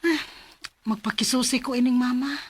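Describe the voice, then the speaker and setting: A woman acts out a young Filipina working abroad, with a high, plaintive voice. She is patient yet worried, speaking earnestly in the style of a radio drama. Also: fairly even intonation, normal speed, natural voice. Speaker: radio drama lead and narrator.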